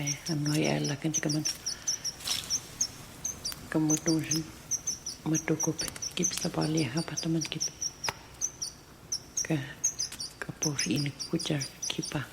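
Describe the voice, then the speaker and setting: An elderly woman from the far south of Chile with a southern Chilean accent, telling traditional stories in a halting, unrehearsed way. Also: low-pitched, gravelly. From Tierra del Fuego, southern Chile. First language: Yagán. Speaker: storyteller. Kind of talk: oral storytelling, unrehearsed. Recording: very noisy archive field recording.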